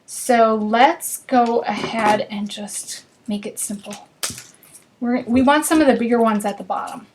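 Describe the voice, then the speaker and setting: Woman, northern US accent, bubbly live craft-class host, slightly high voice. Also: measured pace, a little mellow, slight background noise, low-quality recording. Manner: chatty and bubbly, chatting with viewers while working.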